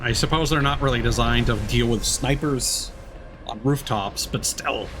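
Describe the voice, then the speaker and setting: A man's voice: medium pitch, moderate pace, background noise. A man giving casual live gameplay commentary as a streamer, musing to himself as he plays. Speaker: game streamer. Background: game music and artillery fire.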